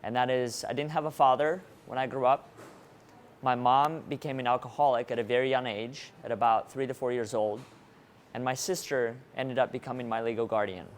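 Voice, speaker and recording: A man born in Russia speaks to a live audience in an engaging motivational style, often turning to the crowd with questions. His voice sits at a middle pitch. A faint hiss of room noise runs under it. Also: steady tone, fairly quick. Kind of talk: interactive presentation to a live audience.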